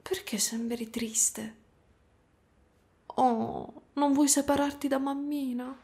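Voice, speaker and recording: A woman voicing a gentle, doting spider-woman caretaker in a soft, cooing ASMR roleplay. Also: high voice, steady tone, slow, gravelly, full character voice. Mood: tender. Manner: soft, cooing, tender, sometimes whispering.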